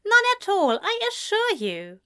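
A woman's voice: high-pitched, huge pitch swings, unhurried, pushed and bright, pitched above the performer's own voice, full character voice. A woman narrator voicing a formal, courteous and flustered Englishman who is eager to please in a story. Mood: beaming, reassuring.

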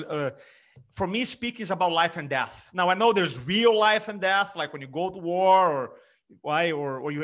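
A middle-aged man from Brazil with a Brazilian Portuguese accent, an energetic speaking coach talking emphatically in an interview. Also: fairly high pitch. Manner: energetic, emphatic, frequent restarts. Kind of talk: conversational interview.

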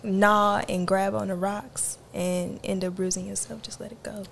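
A woman in a casual podcast conversation, offering calm, short, aphoristic remarks. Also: mid-range voice, moderate pace, vocal fry. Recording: crowd noise behind.

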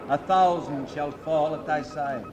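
An actor playing a solemn, devout man reciting scripture in measured tones in a 1950s radio drama, on a very noisy recording. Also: fairly high pitch, natural voice.

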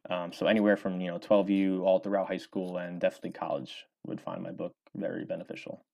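Young male author, very low voice, loose rambling delivery with lots of ums. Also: flat delivery, fast talker, gravelly, dark tone. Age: 20s. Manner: rambling, many fillers and restarts. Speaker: author and former college baseball player. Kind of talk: casual interview answers.

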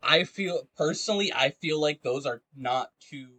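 A man's voice: on the high side, normal speed, pushed and bright, no room sound. A man in a casual, argumentative chat about games, speaking emphatically and swearing freely. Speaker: podcast co-host.

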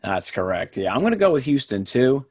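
A young man hosting a casual sports podcast, relaxed and quick to laugh, with plenty of fillers. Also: medium pitch, no echo, studio quality. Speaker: podcast host.

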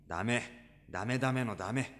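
A man playing a frank, self-deprecating high school boy in a Japanese audio drama, casual and blunt. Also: brisk, fairly bright tone, natural voice. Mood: discouraged.

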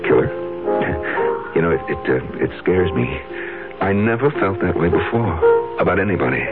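An actor playing a smooth-talking, brooding husband who married for money, in 1970s radio drama style. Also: male voice, low-pitched, lively intonation, a little slow. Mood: brooding, agitated. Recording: dull lo-fi 1970s radio recording, loud music.

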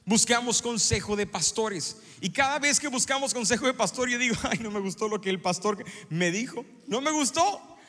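Animated pastor, high bright voice. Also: male voice, normal speed. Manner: animated, joking, acts out little scenes. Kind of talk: sermon. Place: church sanctuary. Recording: long hall reverb in a church.

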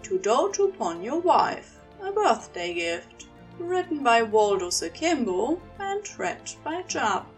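A woman's voice: on the high side, sing-song, slow pace, hardly any pauses, distant mic, faint music behind. A woman narrating a scripted story expressively, as the reader of an amateur audio fiction piece.

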